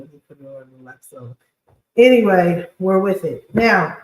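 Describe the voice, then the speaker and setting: Scratchy-voiced woman podcaster, echoey room. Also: on the low side. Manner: informal, blunt, slangy talk. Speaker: podcast host and credit repair specialist. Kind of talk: casual chatty livestream monologue.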